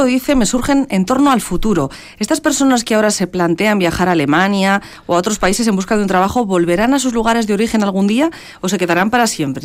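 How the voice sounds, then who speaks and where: Casting: radio co-presenter woman, mid-pitched voice, steady reading of audience messages. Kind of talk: reading listener messages on air.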